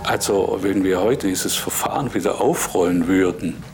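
A man giving emphatic, deliberate expert commentary in an interview. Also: low voice. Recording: clearly noisy interview recording.

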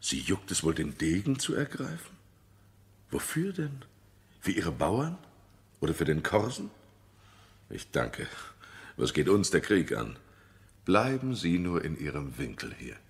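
Very deep, gravelly worldly count. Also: male voice, sing-song, a little slow, pauses often, full character voice. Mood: mocking. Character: worldly, cynical, jovial aristocratic count at a party. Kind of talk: German radio drama acting.

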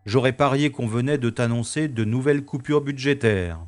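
A man's voice: medium pitch, normal speed, natural voice. A man playing a sardonic, stubborn special agent in a science-fiction audio drama, with a wry, theatrical delivery. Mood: wry, surprised.

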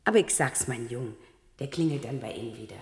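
An actress playing a nosy, good-hearted neighbour and working mother in a German radio drama, chatty and colloquial, with a husky voice. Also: rumbling low, fast talker, heavily acted. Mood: earnest, concerned. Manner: chatty, colloquial, hemming before getting to the point.

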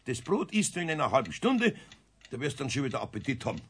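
Grumbly bavarian craftsman, husky n gravelly, strict fatherly scolding, 70s kids radio drama. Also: medium pitch, big pitch swings, fast talker, heavily acted. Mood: firm. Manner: scolding, admonishing. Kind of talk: 1970s children's radio play acting.

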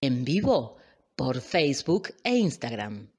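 A man's voice: mid-range voice, very animated, slow pace. A man reading a scripted radio show announcement as a pre-recorded jingle.

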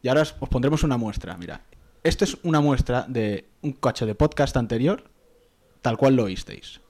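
A man co-hosting a casual podcast, reading listener mail in a quick, wry way. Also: mid-range voice, lively intonation, a little mellow. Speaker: podcast co-host.

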